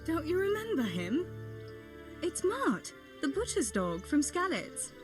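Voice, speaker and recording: A woman playing a caring, practical young village woman in a medieval video game, speaking gently and matter-of-factly with light teasing. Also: high voice, wildly swooping pitch, a little slow, music in the background, exaggerated voice. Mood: friendly, chatty. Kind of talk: video game cutscene acting, natural dialogue.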